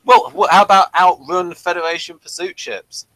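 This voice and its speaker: A man's voice: sits high, fairly even intonation, moderate pace, pushed and bright, no echo, poor audio. A man co-hosting a casual review chat, often stumbling and repeating words as he starts a thought. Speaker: podcast co-host.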